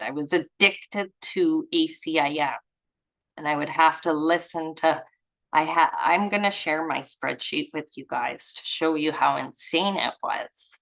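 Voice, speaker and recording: Slow-spoken, musing woman with a lowish voice. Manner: informal, musing, effusive. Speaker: reader and study group leader. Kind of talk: reading aloud with casual, rambling personal commentary.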